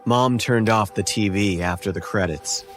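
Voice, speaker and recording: A man's voice: on the low side, moderate pace. A man narrating a scripted first-person horror story as its narrator. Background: faint music.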